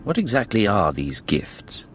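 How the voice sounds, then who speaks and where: An actor playing a calm, guarded undercover agent in a video game, measured and terse. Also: male voice, lowish voice, slight background noise. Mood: cool, attentive.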